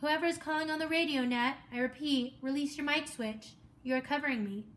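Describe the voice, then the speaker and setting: A woman voicing a calm adult male radio operator who speaks in clipped, procedural radio talk. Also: sits high, a little fast, far from the mic, voice pushed up. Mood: firm, procedural.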